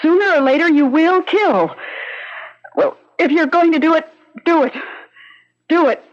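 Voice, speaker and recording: An actress playing a devoted, desperate wife with an earnest, insistent delivery in 1970s radio drama. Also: female voice, very high-pitched, a little slow. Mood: urgent, challenging. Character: devoted, desperate wife confronting her dangerous husband. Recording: dull vintage radio recording.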